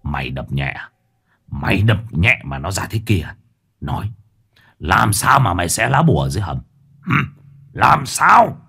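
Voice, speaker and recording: A man voicing a furious older boat boss who curses and threatens in a snarling, husky voice. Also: lowish voice, huge pitch swings, moderate pace, long pauses, fairly bright tone, exaggerated voice. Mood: menacing.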